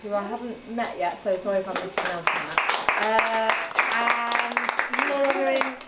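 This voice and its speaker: A woman's voice: a little high, fairly even intonation, moderate pace. Effusive woman host in echoey hall. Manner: effusive, chatty. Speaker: event host. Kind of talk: introducing speakers at an art salon. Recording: echoey hall, distant mic, crowd noise.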